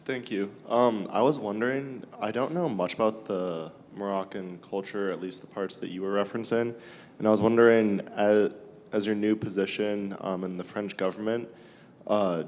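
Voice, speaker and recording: Guy in the audience asking a question, hesitant, lowish voice, echoey hall w/ faint rumble. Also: a little mellow. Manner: hesitant, polite. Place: lecture hall.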